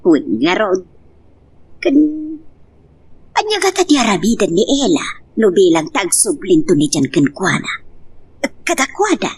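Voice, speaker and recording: A woman's voice: mid-range voice, wildly swooping pitch, a little slow, pauses often, breathy edge, heavily acted. A woman playing a middle-aged, hurt but stubborn abandoned wife and devoted mother in an Ilocano love drama, in an emotional, pleading manner. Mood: concerned. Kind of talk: Ilocano radio-style love drama acting.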